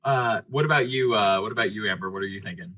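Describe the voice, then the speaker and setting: A man's voice: on the low side, fast, pushed and bright. A man talking casually and explaining things as game master of a tabletop game show. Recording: clearly noisy background with clicks.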